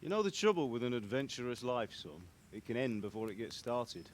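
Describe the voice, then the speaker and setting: An actor playing a middle-aged village blacksmith, a stern and cautious father with a measured, plain-spoken manner in a game cutscene. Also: medium pitch, sing-song, slight background noise, natural voice. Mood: weary, cautionary. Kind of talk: dramatic game cutscene acting.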